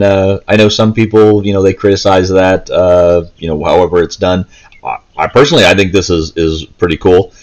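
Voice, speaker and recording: A man giving a casual, chatty gameplay walkthrough, hesitant and thinking aloud as he goes. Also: lowish voice. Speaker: video game player and commentator. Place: indoors, seated, filming handheld gaming screen.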